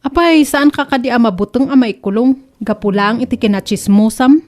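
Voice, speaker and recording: A woman plays a sharp-tongued accuser from a comedy radio drama. She has a high voice and a reproachful, taunting way of telling people off. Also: fairly quick, lightly acted. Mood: indignant, taunting.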